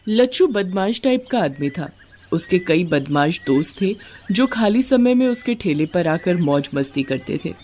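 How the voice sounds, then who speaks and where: Woman storyteller, lowish voice, noisy recording. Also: lively intonation, a little slow, darkish tone. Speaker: story narrator. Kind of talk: scripted moral story narration.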